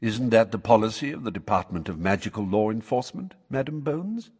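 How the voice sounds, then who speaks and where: A man voicing a calm, courteous male wizard who speaks in a measured, politely firm way, for an audiobook. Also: on the low side, slightly rough, long reverb tail. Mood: pleasant, firm.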